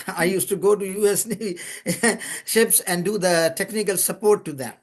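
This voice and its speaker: An older man from Pakistan, an English speaker, telling long stories of his career in a relaxed way with small chuckles and restarted words. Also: high voice, moderate pace. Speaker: guest, lubrication consultant. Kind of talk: podcast interview storytelling.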